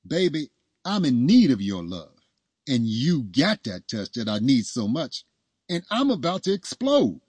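An eager, naive young husband from a storybook, played by a male narrator. He is cocky and romantic, with a husky voice heard close and dry. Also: mid-range voice, huge pitch swings, a little slow, a little mellow, no room sound, voice pushed up, exaggerated voice. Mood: amorous, pleading. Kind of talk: storybook dialogue.

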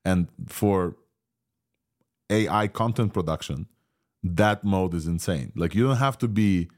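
Podcast host, man, Ukrainian Israeli accent, low pitch, rambling casual delivery full of fillers. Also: measured pace, a little mellow. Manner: rambling, many fillers and restarts. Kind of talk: casual tech podcast talk.

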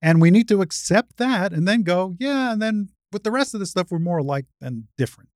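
Older woman with a low-set voice, debating. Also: animated, fairly quick, a little mellow, no room sound, clean studio sound. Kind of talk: spirited debate in conversation.

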